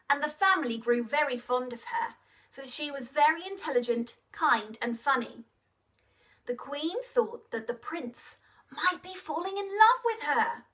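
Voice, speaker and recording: A woman narrates an interactive storytime for young children. Her voice is very high and bright, and she takes her time. She speaks expressively, putting questions to her listeners and coaxing them to join in. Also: recorded from a distance.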